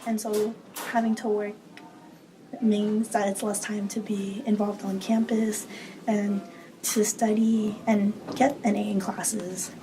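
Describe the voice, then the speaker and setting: A woman's voice: medium pitch, level tone, slow. A young adult woman, a college student, describing her routine in a matter-of-fact way with "like" fillers, recorded in a car. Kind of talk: casual interview answers. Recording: vehicle noise behind.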